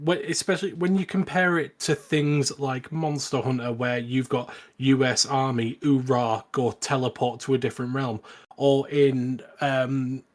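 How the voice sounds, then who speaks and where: Male co-host with a middling pitch, wry and opinionated in relaxed review conversation. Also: a little slow. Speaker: podcast co-host. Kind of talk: casual film review chat.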